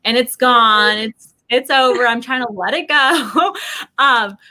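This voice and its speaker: A middle-aged woman telling casual stories in an animated, chatty way, acting out what people said. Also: on the high side, slow. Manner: animated, chatty, acts out quoted remarks. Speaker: guest sharing family travel experiences. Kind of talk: casual conversational storytelling.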